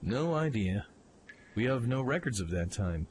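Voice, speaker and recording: A man playing a brash, eager young mech pilot in an audio drama, informal and excitable in his delivery. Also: mid-range voice, unhurried, a little hiss or hum. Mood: suspicious, musing. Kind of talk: fan audio drama acting.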